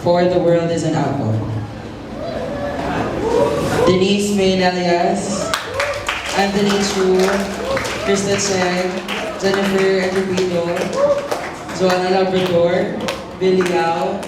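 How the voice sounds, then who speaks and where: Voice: medium pitch, steady tone, slow pace, long pauses, over a noisy crowd. An announcer formally presenting people from the stage in a large hall with an audience. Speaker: announcer introducing cast and crew. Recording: echoey noisy hall, distant mic, audience murmur.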